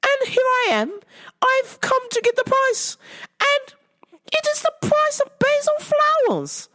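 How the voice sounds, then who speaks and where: A woman voicing an indignant, entitled shopper who whines high and fast about being overcharged. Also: very animated, a bit bright, exaggerated voice. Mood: outraged whining. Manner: whiny, indignant, high-pitched. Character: shrill entitled middle-aged woman customer complaining.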